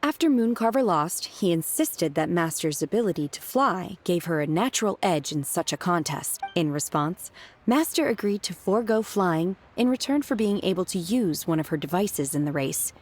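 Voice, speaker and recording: Casting: sincere, reserved young game heroine, slightly low voice, courteous and formal, music underneath. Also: female voice, lively intonation, moderate pace. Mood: earnest. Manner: polite, formal, plain-spoken. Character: earnest, reserved young woman, devoted disciple of an immortal. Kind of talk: video game character dialogue.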